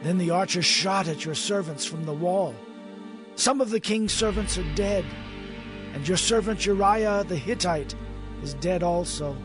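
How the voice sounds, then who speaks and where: A man's voice: high-pitched, a little slow, over music. An actor playing an urgent battlefield messenger reporting to his king in a dramatized scripture recording. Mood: urgent, anxious. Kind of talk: dramatized scripture audio acting.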